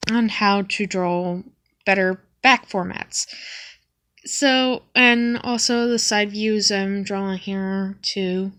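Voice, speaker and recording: A woman's voice: mid-range voice, a bit bright. Sniffly young woman narrating her sketchbook. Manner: hesitant, with many ums and restarts. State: sniffly from a cold. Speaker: vlogger showing her sketchbook. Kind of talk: casual sketchbook walkthrough.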